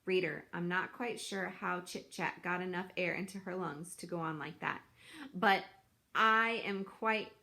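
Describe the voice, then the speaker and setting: A woman reading a children's book aloud in an expressive, deliberate, dramatic storytelling style. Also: on the low side, gravelly.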